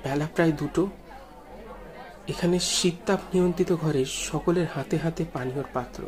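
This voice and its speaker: A man narrates a first-person story in a measured, suspenseful and expressive way. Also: on the high side, some chatter behind. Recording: music behind the voice. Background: music.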